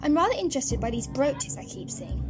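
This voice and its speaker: Curious young granddaughter, played by a woman: high voice, earnest, polite but outspoken, audio drama over soft music. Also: animated, brisk. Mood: earnest, puzzled. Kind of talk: fan audio drama acting. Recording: music underneath.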